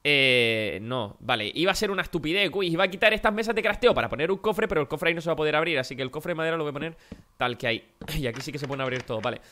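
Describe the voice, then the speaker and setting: A Spanish man from Spain talks quickly and brightly over his gameplay. His voice is nasal and a bit wrecked, and he chats straight at his viewers with plenty of energy. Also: a little high, animated. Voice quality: nasal, worn-out voice. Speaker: gaming youtuber. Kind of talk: casual gameplay commentary.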